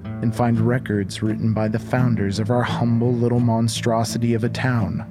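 A man's voice: medium pitch, little pitch movement, normal speed. Man narrating horror over music. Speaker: horror podcast narrator and host. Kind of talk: scripted horror storytelling.

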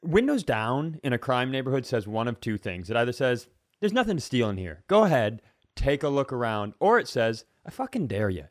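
An American man with a mid-pitched voice co-hosts a podcast. He spins casual, funny stories, wandering through them and putting himself down as he goes. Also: very animated, fairly quick. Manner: self-deprecating, rambling. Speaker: podcast co-host. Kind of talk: casual comedic storytelling.

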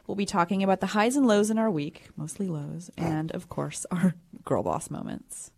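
A woman hosting a podcast in casual chat with a friend, talking in a fairly monotone voice with a dry, blunt humor. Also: on the low side, normal speed, creaky, husky. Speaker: podcast host.

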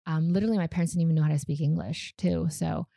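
Low, gravelly Canadian-born woman. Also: fast talker, no echo, studio quality. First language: Vietnamese. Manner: relaxed, chatty, frequent fillers and small laughs. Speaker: guest. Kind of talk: casual interview storytelling.

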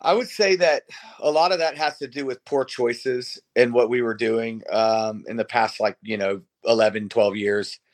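A man's voice: mid-range voice, steady tone, moderate pace. A man of about forty talks like a rock singer being interviewed. His speech is informal and conversational, with some swearing, in a mid-range voice. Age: around 40. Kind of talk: casual chat.

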